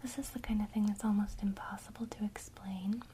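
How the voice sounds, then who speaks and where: A woman teaching a witchcraft lesson in a soft, unvoiced ASMR whisper, guiding the listener gently and speaking straight to them. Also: mid-range voice, fairly even intonation, a little hiss or hum. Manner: slow, soothing, intimate, addresses the listener directly. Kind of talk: whispered ASMR guided visualization.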